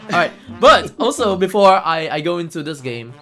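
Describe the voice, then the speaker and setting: A man's voice: high voice, sing-song, normal speed, bright, forceful tone. A man giving casual, hesitant live commentary as he speedruns a video game, often repeating words. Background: game music.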